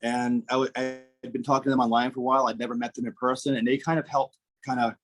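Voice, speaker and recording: A man's voice: medium pitch, little pitch movement, quick pace, a bit bright, soft background music. A man with a South Shore Massachusetts accent, telling stories as a podcast guest and acting out the voices of people he quotes. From southern Massachusetts, USA. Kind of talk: casual storytelling interview.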